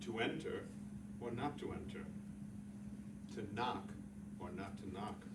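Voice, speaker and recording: A man's voice: fairly low pitch, unhurried. A man giving a dramatic reading of literary prose. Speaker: literary reader. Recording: roomy echo, distant mic, clear noise.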